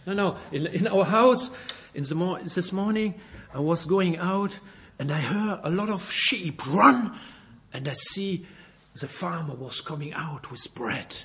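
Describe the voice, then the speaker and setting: Slow, husky Belgian preacher. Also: male voice, sits high, animated, a bit bright. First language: French. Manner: halting, repeats words, reads scripture aloud. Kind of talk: sermon.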